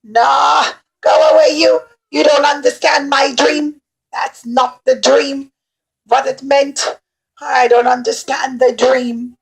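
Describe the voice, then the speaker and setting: A woman voicing an excitable, anxious king in a children's puppet show, exclaiming and wailing dramatically. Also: high voice, slow pace, creaky, heavily acted, low-quality recording. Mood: frustrated. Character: excitable, anxious old-time king troubled by his dreams.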